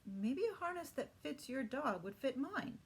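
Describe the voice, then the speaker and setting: A woman voicing a playful, excitable, chirpy little girl in a children's storybook. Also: high-pitched, measured pace, gravelly, pitched above the performer's own voice, full character voice. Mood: excited.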